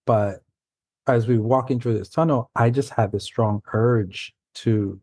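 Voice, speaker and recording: A man's voice: medium pitch, unhurried, darkish tone, no room sound. A man in his forties from New York City, a native Spanish speaker, telling rambling, self-correcting stories as a guest author in a casual interview. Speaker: guest, science fiction author.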